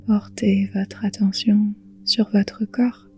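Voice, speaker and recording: A woman guiding a relaxation meditation in a soothing, hushed, breathy voice, leaving long pauses between phrases. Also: medium pitch, flat delivery, soft background music. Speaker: yoga nidra guide.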